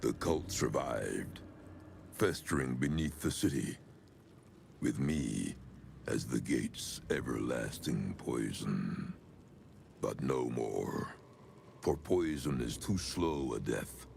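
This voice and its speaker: Ancient sinister cult elder, video game, heavy gravelly rasp, slow solemn menace, faint noise. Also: male voice, animated, darkish tone, exaggerated voice. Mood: brooding. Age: older. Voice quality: very deep gravelly voice. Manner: solemn, measured, archaic phrasing. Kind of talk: dramatic video game monologue.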